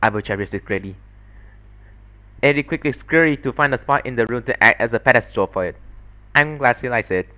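A man's voice: medium pitch, quick pace, sharp tone, clean tone. A man giving scripted narration for a solo fandub of a story.

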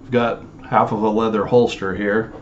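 A man's voice: fairly low pitch, little pitch movement, moderate pace, lo-fi sound, a little hiss or hum. A man in his forties casually showing items in a home office vlog, rambling with lots of fillers while he handles things on camera. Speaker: storage unit reseller. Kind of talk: casual show-and-tell vlog. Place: small home office, indoors.

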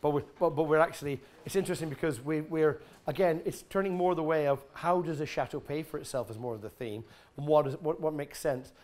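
A middle-aged man giving an informal talk and taking audience questions in a large exhibition tent, blunt and animated, swearing freely and restarting his sentences often. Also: a little high, fast, few pauses. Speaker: guest speaker at an expo.

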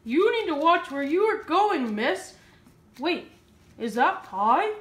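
Woman as a sly, hungry wolf with swooping pitch. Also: very animated, takes it slowly, a bit bright, roomy sound, full character voice.

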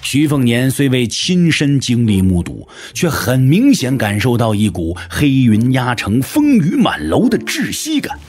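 A man's voice: medium pitch, very animated, a little slow, few pauses, a little mellow, soft background music. A man narrating an audio drama from a script in a dramatic storytelling style.

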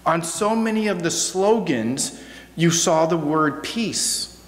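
A middle-aged American man giving a reflective sermon as guest preacher, measured, with deliberate pauses and repeated phrases, at a church pulpit microphone. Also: fairly high pitch, expressive. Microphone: gooseneck pulpit microphone. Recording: echoey hall, noticeable hum.

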